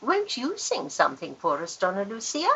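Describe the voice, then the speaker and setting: A woman's voice: medium pitch, wildly swooping pitch, moderate pace, creaky, fairly bright tone, breathy edge, muffled recording, full character voice. Woman playing a swooping, gushing grand society aunt. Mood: coaxing. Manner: theatrical, emphatic, genteel. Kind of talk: Victorian farce radio acting.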